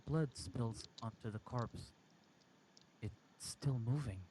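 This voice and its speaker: A man reading a first-person horror story aloud in a halting, dramatic way. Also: medium pitch, dark tone, a little hiss or hum, poor audio. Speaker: amateur story reader.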